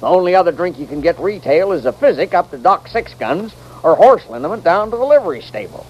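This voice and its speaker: An actor playing a gruff, gullible saloon keeper who talks in folksy frontier speech in a radio western. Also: male voice, sits high, big pitch swings, fast, lo-fi sound, heavily acted. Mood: gruff, exasperated. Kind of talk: 1940s-50s radio western acting. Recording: faintly noisy vintage radio recording.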